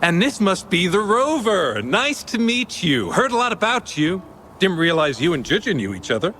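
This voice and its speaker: An actor voicing a friendly, easygoing merchant in a video game, teasing and lively. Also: male voice, high voice, moderate pace, a little hiss or hum. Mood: cheerful.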